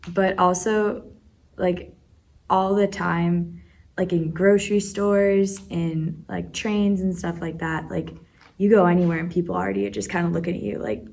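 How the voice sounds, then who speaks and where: A teenage girl talks in a loose, casual way, leaning on "like" as she goes. Her voice is fairly low and stays flat in tone. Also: measured pace, vocal fry. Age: teens. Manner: casual, frequent "like". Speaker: student. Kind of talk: opinion statement in a discussion.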